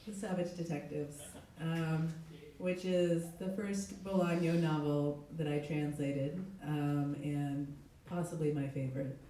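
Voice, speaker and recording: A middle-aged woman, a literary translator, reading aloud at a live event, her voice hoarse with a cold. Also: deep voice, fairly even intonation, measured pace, hardly any pauses, dark tone. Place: echoey indoor event space. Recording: very echoey room, distant mic.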